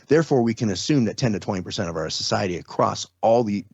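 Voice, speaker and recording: Low-voiced man explaining things. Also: animated, quick pace, creaky, darkish tone, husky, no echo, dull audio. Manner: explains step by step with everyday examples, conversational. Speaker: podcast guest. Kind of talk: casual explanatory interview talk.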